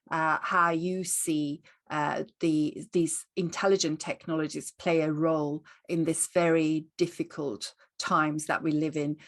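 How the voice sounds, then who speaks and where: Woman interviewer, very low voice, articulate and attentive. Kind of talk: expert interview.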